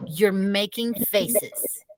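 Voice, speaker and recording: A woman reads an exasperated storybook mother laying down the law to her children. She is firm and stern, with slow, deliberate delivery. Faint noise sits behind her. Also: medium pitch.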